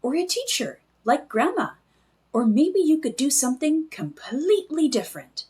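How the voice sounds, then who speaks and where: A tiny magical storybook companion, voiced by a woman, cheerful and encouraging. The voice is chirpy, fairly high and full of lively rises and falls. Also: normal speed.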